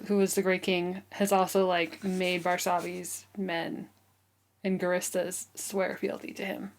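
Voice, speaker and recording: A woman's voice: on the low side, flat delivery, unhurried. A woman co-hosting a book podcast in casual chat, often questioning and checking in with her co-host.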